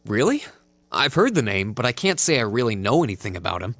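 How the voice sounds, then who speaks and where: An actor playing a calm, fair-minded young male student in a video game, speaking in a level-headed, earnest way. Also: lowish voice, brisk, dry recording, full character voice. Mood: calm, thoughtful.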